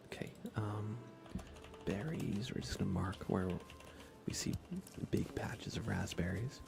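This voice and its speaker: A man streaming ASMR in a soft, breathy whisper, chatting casually and gently while he plays a game. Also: low voice, unhurried, over music. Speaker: livestream host. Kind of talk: ASMR livestream, casual chat while gaming.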